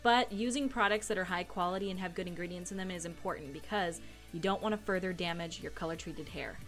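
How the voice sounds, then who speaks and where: A woman's voice: on the low side, fast talker, a bit bright. A young woman, giving a casual, laid-back tutorial voice-over as a beauty vlogger. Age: young adult. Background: background music.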